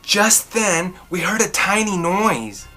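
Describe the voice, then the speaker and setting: A woman narrates a children's story aloud. Her voice is bright and mid-pitched, with slow, deliberate, dramatic phrasing. Soft music plays underneath. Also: expressive. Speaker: storybook reader.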